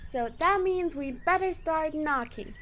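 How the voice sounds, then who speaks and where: A woman's voice: high-pitched, measured pace, fairly bright tone, slight background noise. A woman playing an eager, loyal young monster boy in an audio drama, talking in earnest slang. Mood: determined.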